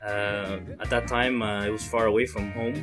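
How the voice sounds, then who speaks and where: Young guy from montreal in an interview, kinda low voice, hesitant, earnest, bit of guitar music behind. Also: little pitch movement. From Montreal, Canada. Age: early 20s. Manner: halting, hesitant phrasing. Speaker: interviewee, student association chairman. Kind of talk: interview answers, earnest explanation.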